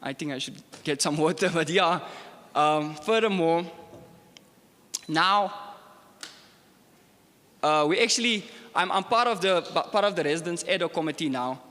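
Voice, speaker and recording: A man's voice: a little high, measured pace, pauses often, pushed and bright, in a large hall. A young man speaking earnestly as a student panelist, often saying "like" and growing heated as he makes his points. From South Africa. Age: young adult. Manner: earnest, grows heated, many fillers. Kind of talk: panel discussion.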